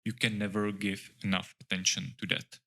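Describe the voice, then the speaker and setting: A middle-aged man speaks English in a low, level voice with a bright, forward edge. He talks in a considered, halting way, stopping often to search for the next word. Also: little pitch movement, gravelly. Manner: thoughtful, halting, with many "uh" pauses and restarts. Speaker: architect guest. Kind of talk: casual interview chat.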